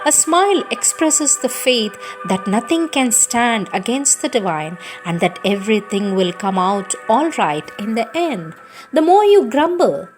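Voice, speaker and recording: A woman's voice: a little high, animated, a little slow. Woman reading devotional text over prominent music. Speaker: reader of spiritual texts. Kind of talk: devotional read-aloud, scripted.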